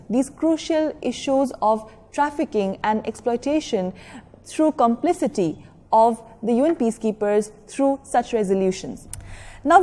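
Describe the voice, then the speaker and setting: A woman giving an educational lecture in a measured, explanatory way, stressing key words. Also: a little high, mellow. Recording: long reverberant echo of a hall.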